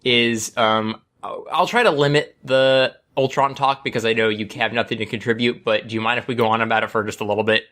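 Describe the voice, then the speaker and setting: An enthusiastic man in a relaxed group chat as podcast host. His voice is bright and forward, at an ordinary male pitch. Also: brisk, few pauses. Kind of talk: casual group chat about films.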